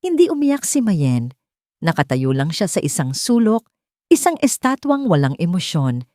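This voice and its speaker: A woman's voice: mid-range voice, warm tone, dry recording, studio quality. A woman reading a scripted dramatic story as its narrator.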